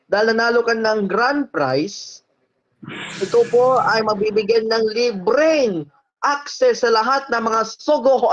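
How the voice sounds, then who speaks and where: A man's voice: very high-pitched, poor audio. A Filipino man co-hosting a live game show, announcing prizes in a mix of Tagalog and English. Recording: loud music under the voice. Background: loud music.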